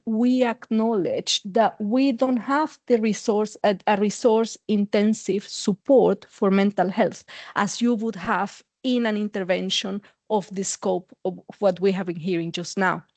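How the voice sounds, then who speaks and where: A woman with a Mexican accent, a psychologist and university associate dean, giving a seminar talk in a measured way, filled with "uh" pauses and restarts. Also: mid-range voice, a little mellow, no echo.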